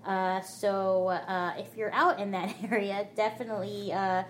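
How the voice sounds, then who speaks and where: A woman's voice: medium pitch, fairly even intonation, measured pace, a little hiss or hum. A woman hosting a casual podcast, chatty and full of fillers as she reads out listings. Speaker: podcast host. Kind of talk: casual chat.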